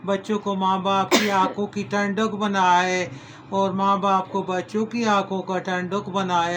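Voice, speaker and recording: A man's voice: high voice, fairly bright tone, a little hiss or hum. A middle-aged man, hosting a home cooking video in a folksy, rambling way, full of homely advice and blessings. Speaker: cooking channel host. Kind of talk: casual home cooking vlog.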